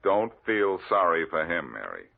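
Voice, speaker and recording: A man's voice: low-pitched, sing-song, moderate pace, creaky, heavily acted. An actor playing a cool, wisecracking male detective with a dry, teasing manner in 1940s radio drama style, on a tinny old recording. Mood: matter-of-fact.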